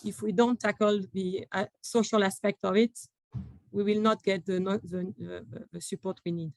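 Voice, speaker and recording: A woman with a French accent giving panel remarks at a conference, her pitch sitting in a middle range. She talks in a halting way, full of fillers and restarts. Her voice comes through dry and close, with little room sound around it. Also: fairly even intonation, vocal fry, dry recording. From France. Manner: hesitant, many fillers and restarts. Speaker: panel speaker from a company.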